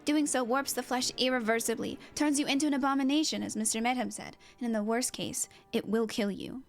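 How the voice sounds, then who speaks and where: A woman's voice: fairly high pitch, steady tone, fairly quick, natural voice. A woman voicing an earnest, anxious and well-informed ally in a video game story, explaining things with care. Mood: concerned. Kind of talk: amateur voice acting of game dialogue.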